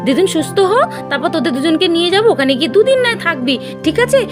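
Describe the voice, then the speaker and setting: A woman's voice: very high-pitched, big pitch swings, very fast, music in the background, pitched below the performer's own voice. A woman voicing a busy mother in a doll cartoon, coaxing and giving instructions. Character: busy mother, fussing and soothing.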